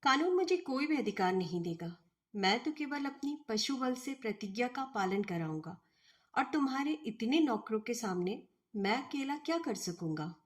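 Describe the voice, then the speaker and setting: A woman reading the storybook dialogue of a suave, cynical male professor who argues bluntly and deliberately. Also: fairly high pitch, sing-song. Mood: stern, argumentative.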